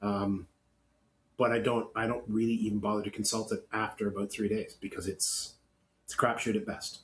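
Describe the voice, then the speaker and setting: A Canadian man co-hosting a podcast in casual, opinionated chat, blunt and grumbling, often halting and repeating words. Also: on the low side, flat delivery, fairly bright tone. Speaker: podcast co-host. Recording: clear background noise.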